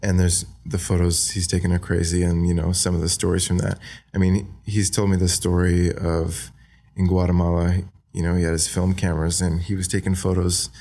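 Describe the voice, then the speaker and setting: A young man from Australia with an American accent, talking softly and hesitantly as a podcast guest, with many fillers. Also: sits low, completely flat delivery, a little fast, creaky. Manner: soft-spoken, hesitant, many ums and likes. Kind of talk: casual interview talk.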